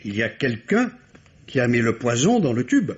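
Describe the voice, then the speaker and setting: A man's voice: mid-range voice, sing-song, moderate pace, close to an everyday voice. A man acting a shrewd, insistent police inspector in a vintage radio mystery, speaking formally and precisely. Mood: explanatory. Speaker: radio drama actor. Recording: dull, lo-fi old radio recording.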